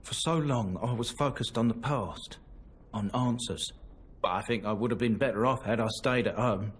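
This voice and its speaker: A man's voice: mid-range voice, normal speed, a little hiss or hum, close to an everyday voice. An actor playing an earnest young man seeking redemption for his family, speaking plainly and a little hesitantly in a video game cutscene. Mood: relieved. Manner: plain, humble, hesitant talk. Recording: game audio under loud music score.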